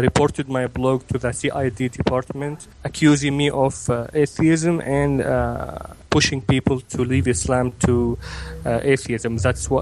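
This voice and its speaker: A man in his twenties, from Egypt and raised in Kuwait, answering interview questions hesitantly with many pauses and restarts. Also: medium pitch, a little hiss or hum, rough recording. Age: 20s. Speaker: interview guest. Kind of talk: remote interview.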